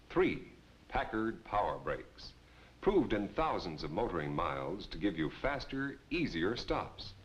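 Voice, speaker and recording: A man's voice: sits low, highs cut off. A man announcing a scripted 1950s car commercial. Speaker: advert announcer. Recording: faintly noisy vintage advert.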